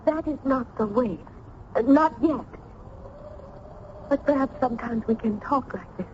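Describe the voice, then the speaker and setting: An actress playing a sheltered, shy young maiden in a radio drama, curious and wistful, with formal, hesitant speech. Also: female voice, sits high, expressive, a little slow. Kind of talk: old-time radio drama acting. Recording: dull, noisy old radio recording.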